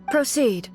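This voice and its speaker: An actress playing a stern, aloof female ruler in a video game, terse and solemn. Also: fairly bright tone. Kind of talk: video game cutscene acting.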